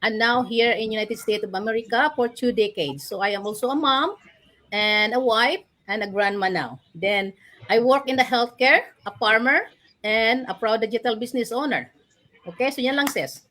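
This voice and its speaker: A woman's voice: a little high, normal speed, a bit bright. A middle-aged woman from the Philippines with a Cebuano Filipino accent, casually introducing herself. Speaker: livestream participant.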